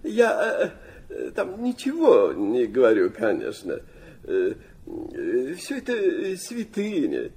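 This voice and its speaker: An actor playing a sentimental, flighty old Russian prince in a radio play, rambling and hesitant, stammering and dropping in French phrases. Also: male voice, medium pitch, huge pitch swings, takes it slowly, a little mellow, full character voice. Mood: flustered, evasive. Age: older.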